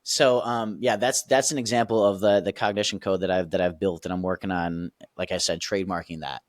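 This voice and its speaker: A man's voice: low voice, steady tone, brisk, no echo, clean studio sound. A man on a podcast as a guest coach, explaining his method casually and emphatically, checking in with the listeners as he goes and laughing easily.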